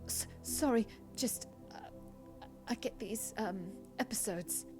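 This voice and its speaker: A woman playing a nervous, shaken young female dragon in an audio drama, halting and flustered. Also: mid-range voice, over music, close to an everyday voice.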